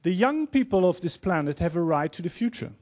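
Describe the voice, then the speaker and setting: A man in his fifties with a Dutch accent, giving an earnest, measured opening speech as panel moderator in a large auditorium, holding a handheld mic. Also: sits high, big pitch swings, darkish tone. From Netherlands. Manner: earnest, measured, quoting speakers.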